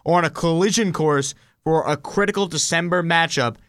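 A man's voice: fairly high pitch, moderate pace, fairly bright tone, no room sound, studio quality. An American man hosting a sports talk show, giving fluent, emphatic football analysis. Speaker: sports podcast host.

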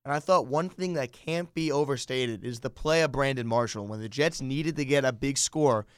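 A man's voice: mid-range voice, clean tone, no echo, clean studio sound. An American man hosting a sports podcast, talking through games with a fan's enthusiasm. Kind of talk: sports talk commentary.

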